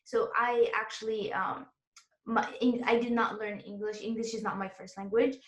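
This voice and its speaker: A woman's voice: on the high side, steady tone, normal speed. Chatty woman host in an echoey room. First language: Arabic and French. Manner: chatty, encouraging, laughs often. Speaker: interview host and exam tutor. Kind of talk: casual interview chat.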